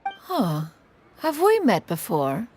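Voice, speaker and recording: A woman's voice: on the high side, huge pitch swings, slow pace, darkish tone, soft background music, full character voice. A woman voicing a proud, haughty ancient immortal who speaks with pompous, archaic formality in a video game. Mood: dignified, pleased. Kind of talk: video game character dialogue.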